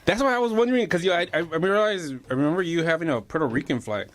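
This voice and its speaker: A man hosting a casual talk show, joking and teasing his guest. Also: fast. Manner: joking, teasing, lively pitch. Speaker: show host. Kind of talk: casual interview chat.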